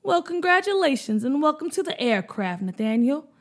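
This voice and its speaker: A woman's voice: high voice, very animated, normal speed, voice pushed up. A woman voicing a friendly male airline pilot in a children's story. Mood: welcoming.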